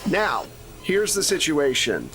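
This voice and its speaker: A man's voice: a little high, wildly swooping pitch, takes it slowly, fairly bright tone, faint music behind. A man speaking as game master and host of an actual-play podcast, in a conversational style.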